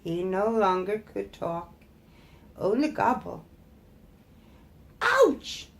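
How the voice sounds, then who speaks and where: A woman narrates a fairytale as a storyteller. Her pace is slow and deliberate, with expressive delivery and a medium-pitched voice. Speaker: storyteller, host.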